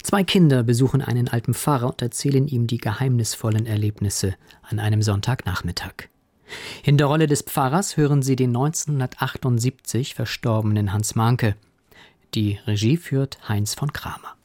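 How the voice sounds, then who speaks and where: A man reading a scripted radio introduction in a formal, measured manner, as an announcer. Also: mid-range voice, a little mellow, no echo.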